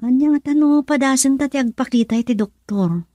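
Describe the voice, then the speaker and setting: A woman's voice: high voice, brisk, mellow, no echo, studio quality. A woman playing a meek, wistful young wife and trained nurse in an Ilocano radio drama. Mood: hesitant.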